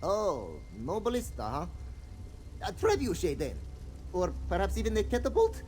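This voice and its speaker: A man's voice: high-pitched, huge pitch swings, normal speed, darkish tone, slight background noise. An actor playing a boastful but cowardly, lovable cat-man adventurer in a fantasy video game, theatrical with nervous hesitations. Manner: theatrical bragging with nervous hesitation, third-person speech. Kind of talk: video game voice acting, comic fantasy dialogue. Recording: game audio with faint music behind.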